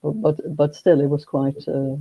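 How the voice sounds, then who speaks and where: A man speaking at a mid pitch in an even, flat tone. He talks haltingly, with many restarts and fillers. The sound is dull and lo-fi, and the room is dry. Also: no room sound. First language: Swedish. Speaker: guest. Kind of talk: casual conversation.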